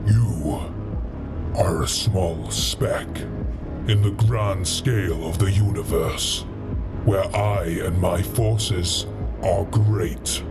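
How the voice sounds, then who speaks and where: Prominent music surrounds a proud alien warrior-commander. His voice is very low and he speaks in a solemn, imperious manner, looking down on humans with menace, as in a science fiction audio drama. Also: drawn-out words. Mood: imperious, scornful. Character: proud, menacing alien shipmaster guarding holy relics. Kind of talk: sci-fi audio drama acting.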